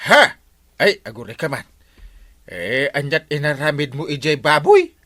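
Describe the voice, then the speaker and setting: A startled comic villager played by an actor in a radio drama. The pitch swings wildly around a middle register, with hesitant, stammering questions. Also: sing-song, normal speed, a bit bright. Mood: puzzled. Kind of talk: Ilocano comedy radio drama acting.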